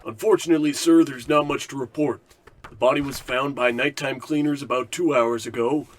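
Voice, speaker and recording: A man's voice: mid-range voice, fairly even intonation, lightly acted. A man playing a businesslike, deferential police sergeant in a radio play, giving formal reports. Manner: formal, brisk report delivery. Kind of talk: old radio police procedural parody acting.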